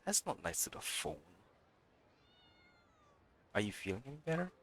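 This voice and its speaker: A man's voice: lowish voice, big pitch swings, normal speed, fairly bright tone, a little hiss or hum. A man giving casual commentary as a live game streamer.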